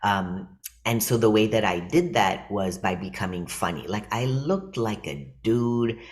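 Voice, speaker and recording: Low-voiced man presenting an online workshop. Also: expressive, a little slow. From California, USA. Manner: conversational and reflective, loose with fillers and restarts. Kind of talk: casual talk to an online workshop.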